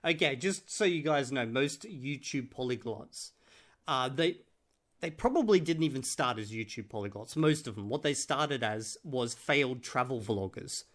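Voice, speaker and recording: A man's voice: medium pitch, lively intonation, normal speed, fairly bright tone. A man giving casual, sweary reaction commentary in a blunt, sarcastic manner. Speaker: reaction video host.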